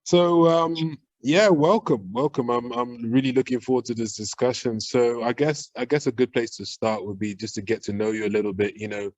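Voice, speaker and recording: A man hosts an interview at a middle pitch, enthusiastic and prone to repeating words like "amazing, amazing". His voice sounds dull and dry, as if recorded remotely. He asks his questions loosely and in a friendly way. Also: steady tone, normal speed, hardly any pauses, darkish tone, dry recording. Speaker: podcast host. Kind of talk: casual interview.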